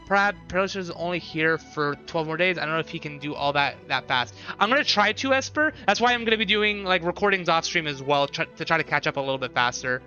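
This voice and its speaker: Guy live streaming a game, super bright voice, thinks out loud, bit lo-fi, soft music behind. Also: fairly high pitch, quick pace, hardly any pauses. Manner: repeats short phrases, thinks aloud. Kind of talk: casual live stream commentary, chatting with viewers.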